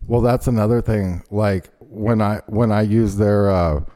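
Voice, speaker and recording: A man's voice: fairly low pitch, fairly even intonation, a little slow, mellow. A man hosting a casual podcast, telling loose stories and reading an ad with many ums and restarts. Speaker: podcast host. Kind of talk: casual chat and ad read.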